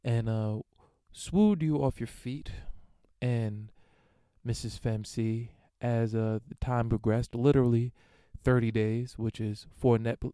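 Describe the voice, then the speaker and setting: A man talks alone into a dry, close recording, his voice smooth and warm. He rambles through listener emails with crude, irreverent jokes and lots of fillers, in an unhurried host's monologue. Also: on the low side, no room sound. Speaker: podcast host answering listener emails. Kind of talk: casual solo monologue.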